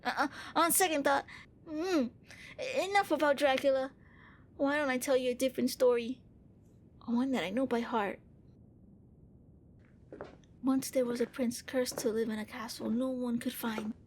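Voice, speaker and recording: A woman playing a gentle, bookish young vampire prince in an ASMR roleplay, speaking with hesitant, earnest courtesy. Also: sits high, fairly even intonation, normal speed, pauses often, slight background noise, lightly acted. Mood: storytelling. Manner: hesitant, politely fussy, earnest.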